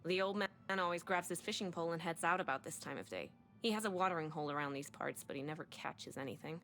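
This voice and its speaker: An actress playing a guarded, plain-spoken local woman in a video game, brisk and matter-of-fact. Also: fairly low pitch, fairly bright tone, faint music behind. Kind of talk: video game cutscene acting.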